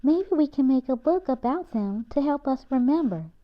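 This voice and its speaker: A woman's voice: high voice, expressive, normal speed, muffled recording, voice pushed up. A woman reading the part of a curious, enthusiastic young boy in a storybook. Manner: enthusiastic, inquisitive.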